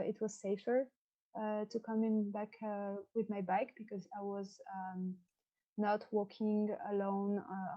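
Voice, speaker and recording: Woman, twenties, French accent, soft dark tone, hesitant personal storytelling, dull lo-fi recording. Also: mid-range voice. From France. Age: 20s. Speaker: podcast participant. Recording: dull lo-fi microphone sound.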